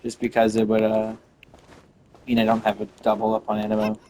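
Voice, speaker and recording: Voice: medium pitch, completely flat delivery, brisk, darkish tone, a little hiss or hum. A game streamer giving casual gameplay commentary, musing aloud and reacting in slang.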